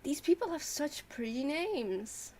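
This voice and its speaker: A woman's voice: high voice, very animated, measured pace, mellow. A woman game streamer reacting casually as she plays. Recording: faint game sound behind.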